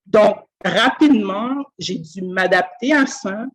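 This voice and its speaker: Earnest Québécoise on a video call. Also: female voice, medium pitch, takes it slowly. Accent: Québécois French. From Quebec. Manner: thoughtful, earnest, many fillers and restarts. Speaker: school speech-language pathologist, guest. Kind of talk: conversational interview. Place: school office.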